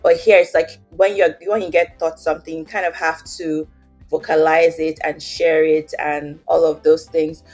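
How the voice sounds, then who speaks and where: A woman's voice: low voice, level tone, moderate pace, darkish tone, faint music behind. A young woman with a Nigerian accent, telling her story as an interview guest in a chatty way, with many fillers. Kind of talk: casual interview, personal story.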